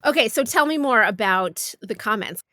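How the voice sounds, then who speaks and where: Female podcast interviewer, bright forward voice, dry sound, conversational questioning. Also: a little high, lively intonation, normal speed, dry recording. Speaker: podcast host.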